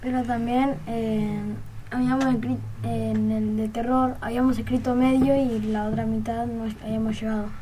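A woman's voice: fairly high pitch, level tone, moderate pace, noisy background. A female speaker giving casual interview answers about taking part in a school production. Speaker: school radio drama participant. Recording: chattering crowd behind.

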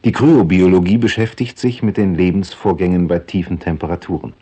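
A man giving a measured, lecturing scripted introduction to a radio play. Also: low voice, steady tone, warm tone, breathy edge. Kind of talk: scripted explanatory narration.

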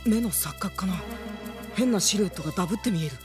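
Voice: medium pitch, expressive, quick pace, over music, lightly acted. An actor playing an earnest, anxious young boy mage in a Japanese radio drama, with a youthful manner. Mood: puzzled.

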